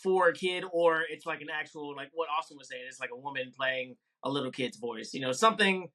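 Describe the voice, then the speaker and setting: A man's voice: on the high side, a little fast, sharp tone. A man on a casual podcast, answering in a hesitant, thoughtful way with many fillers.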